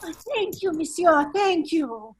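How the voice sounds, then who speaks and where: A woman's voice: very high voice, expressive, measured pace. A woman reading the part of a begging old hag in a radio drama. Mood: pleading. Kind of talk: table read of a radio drama.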